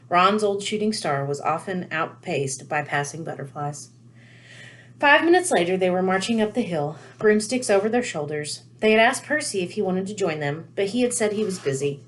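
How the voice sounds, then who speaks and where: Lowish-pitched woman reading a storybook. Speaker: read-aloud storyteller. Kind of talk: scripted storybook narration.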